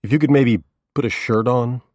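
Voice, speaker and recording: A man's voice: mid-range voice, fairly even intonation, moderate pace, darkish tone, no echo, clean studio sound, pitched above the performer's own voice, close to an everyday voice. A man voicing a weary, clipped private eye. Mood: terse, impatient. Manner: clipped, edgy. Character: weary, terse male private detective.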